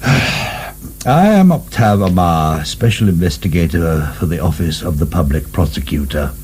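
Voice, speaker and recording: A man's voice: low voice, expressive, measured pace, a little mellow, slight background noise. A man acting in a radio drama as a cold, suave special investigator with a formal, pompous manner. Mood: coolly official.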